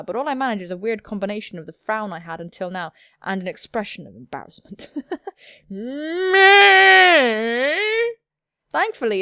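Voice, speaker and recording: A woman's voice: medium pitch, creaky, no room sound, clean studio sound. A woman hosting a gaming video, reading aloud and commenting in a playful, theatrical, cheeky way.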